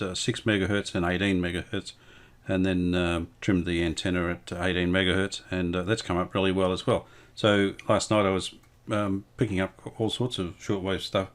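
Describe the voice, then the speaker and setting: A man with a low voice talks through hands-on restoration work as he goes. His delivery is understated and matter-of-fact, with the unscripted feel of a casual workbench vlog. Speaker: restorer presenting a hands-on vintage radio repair.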